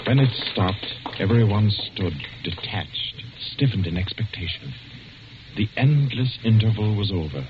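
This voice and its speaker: An actor narrating a suspense tale in a solemn, deliberate 1940s radio style. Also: male voice, fairly low pitch, animated, lo-fi sound, noisy background. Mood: tense. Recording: thin, band-limited old radio recording. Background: music.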